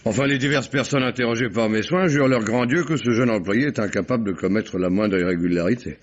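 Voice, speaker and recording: An actor playing a shrewd, theatrical detective who poses as a provincial family friend in a vintage French radio drama. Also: male voice, on the low side, fast talker, lightly acted. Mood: earnest, measured. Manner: theatrical, effusive, exclamatory. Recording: dull old radio recording.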